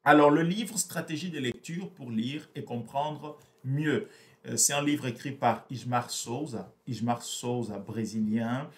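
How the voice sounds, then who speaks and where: A man's voice: medium pitch, unhurried. A man whose first language is Portuguese, explaining a book in a hesitant, repetitive way with many fillers. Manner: hesitant, repeats words, frequent fillers. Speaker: book reviewer. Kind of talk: explanatory book review talk.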